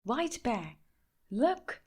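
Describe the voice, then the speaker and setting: Woman playing little storybook boy, Indian accent, eager, earnest, affectionate, higher-pitched. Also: sing-song, very slow, a little mellow, slightly rough, heavily acted. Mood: excited.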